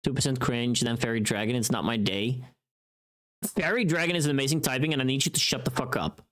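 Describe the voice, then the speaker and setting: A livestream host chatting casually with viewers in an animated, informal, sweary way. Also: mid-range voice, fairly quick.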